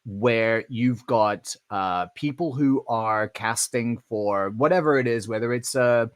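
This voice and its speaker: A man co-hosting a casual podcast, with a mid-pitched voice and a dry, close sound. He tells stories animatedly and restarts his sentences often. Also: slow pace, no echo. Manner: animated storyteller, acts out quoted voices; frequent restarts and fillers. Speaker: podcast co-host. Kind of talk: casual conversational chat.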